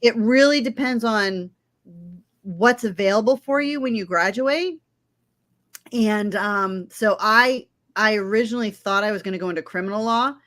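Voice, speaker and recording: A woman in her fifties from Florida, a legal aid attorney, answering interview questions in a friendly, measured way with frequent ums. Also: mid-range voice, a bit bright. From Florida, United States. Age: 50s.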